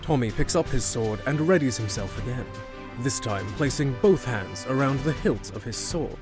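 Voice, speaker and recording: A man's voice: medium pitch, animated, normal speed. Male fantasy drama narrator, music underneath. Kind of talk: scripted story narration for fantasy audio drama.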